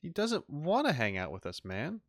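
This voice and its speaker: A man's voice: a little high, huge pitch swings, normal speed. A man giving casual, wry, joking commentary as he streams a video game.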